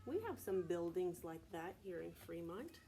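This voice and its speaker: A woman preschool teacher reading aloud to young children in a deliberate, child-directed way, often asking prompting questions. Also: fairly low pitch, animated, noisy background. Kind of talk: read-aloud and sing-song circle time for young children.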